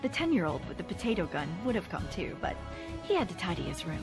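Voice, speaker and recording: A woman's voice: fairly low pitch, big pitch swings, fast. An actress playing a chatty woman who narrates game cutscenes with wry, joking asides. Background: music.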